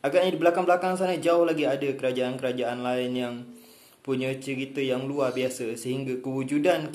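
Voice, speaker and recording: A man from Indonesia, explaining a topic in a casual talk to camera, often pausing with filler sounds and restarts. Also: mid-range voice. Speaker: video host. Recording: echoey room with music behind.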